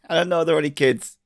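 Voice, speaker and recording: Co-host, man, middle-aged, rough with a cold, gravelly low voice, dry close mic. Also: no echo. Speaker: podcast co-host. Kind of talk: casual chat.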